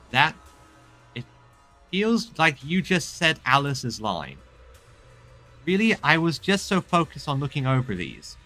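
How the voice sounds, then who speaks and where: An animated man streams a video game, reading its dialogue out and commenting as he goes. His voice is bright and swings widely in pitch, with faint game music behind.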